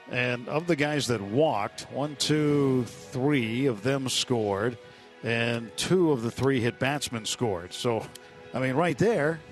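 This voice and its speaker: A man working as a baseball broadcaster, giving measured, conversational analysis full of statistics. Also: mid-range voice.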